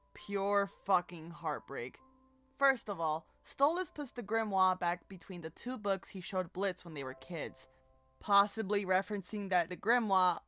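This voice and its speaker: A woman's voice: medium pitch, a bit bright. A woman co-hosting a video, reading scripted commentary with casual asides over background music. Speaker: video co-host. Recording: music playing behind the voice. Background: music bed.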